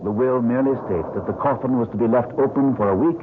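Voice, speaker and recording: A man playing a proper, steady solicitor in an old-time radio mystery, speaking formally and with measured firmness. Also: medium pitch, lightly acted. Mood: formal, composed. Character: proper, steady English solicitor, male, dutiful and firm. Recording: noisy narrowband old radio broadcast.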